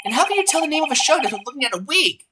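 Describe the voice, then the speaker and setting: A woman voicing a bubbly, excitable teenage girl sidekick in a game, perky and eager. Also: sits high, rapid-fire, heavy background noise, voice pushed up. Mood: excited. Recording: loud music over the voice.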